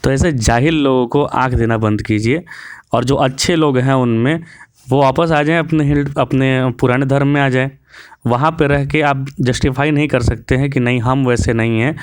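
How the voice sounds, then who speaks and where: A man's voice: mid-range voice, moderate pace, clear, resonant tone, no room sound, studio quality. A man delivering an opinionated monologue as a video commentator, preachy and mixing in English words.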